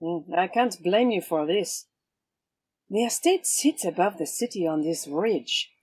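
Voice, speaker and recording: A woman's voice: on the low side, lively intonation, unhurried, a little mellow, breathy edge. A woman acting a haughty swashbuckling heroine, wry and commanding, in an audio drama. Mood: cool menace.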